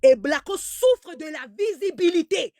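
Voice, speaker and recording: A woman's voice: very high-pitched, lively intonation, measured pace, mellow, no echo, studio quality. A woman giving heated live commentary in an emphatic, repetitive way, stammering as she restarts, whose first language is German. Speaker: online commentator.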